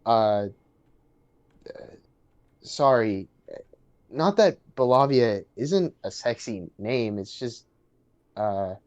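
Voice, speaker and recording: Man acting awkward young man, chatty, unhurried, stammering, casual script reading, dull lo-fi sound. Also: mid-range voice, expressive, darkish tone. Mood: awkward, sincere. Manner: hesitant, stammering. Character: awkward, chatty young man named Bartram on a blind date.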